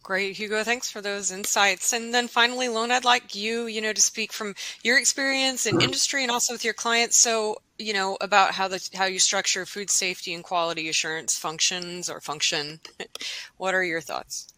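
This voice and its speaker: A woman's voice: medium pitch, fairly even intonation, moderate pace, bright, forceful tone, no echo, poor audio. A woman moderating a webinar, polite and inviting as she passes questions to the panel.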